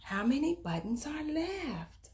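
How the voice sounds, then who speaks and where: A woman reads a children's storybook aloud as host, in an expressive, emphatic manner that speaks directly to young listeners. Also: high voice, takes it slowly. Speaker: children's book reader and host.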